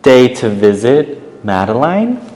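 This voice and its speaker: A man voicing a gentle, proper, fretful woman who looks after little schoolgirls in a children's storybook. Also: mid-range voice, sing-song, slow, long reverb tail, faint music behind. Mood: concerned.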